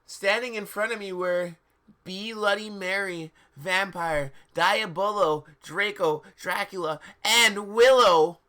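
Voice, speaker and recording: Livestream host with a bright, forceful voice, theatrical and mocking, reading fan fiction and commenting casually. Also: mid-range voice, takes it slowly. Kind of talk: comic dramatic reading with casual asides.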